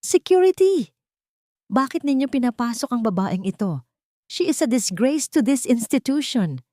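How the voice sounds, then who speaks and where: Woman voicing an arrogant older tycoon villain, pitched up. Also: sing-song, normal speed, warm tone, no echo, clean studio sound. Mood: outraged, haughty.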